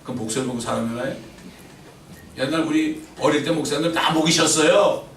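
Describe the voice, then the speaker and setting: Middle-aged guy preaching, bit high voice, loud then quiet, keeps asking the crowd stuff, far off mic in a big echoey church. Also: quick pace, slight background noise. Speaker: pastor. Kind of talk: sermon. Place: church sanctuary. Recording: roomy church echo from far off.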